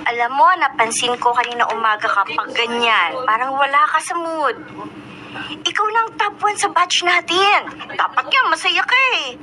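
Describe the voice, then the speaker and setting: A high-voiced chatty teen girl. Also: normal speed, bright, forceful tone. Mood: curious. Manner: chatty and teasing. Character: chatty teenage girl classmate and cousin, curious and upbeat. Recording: noisy radio broadcast recording.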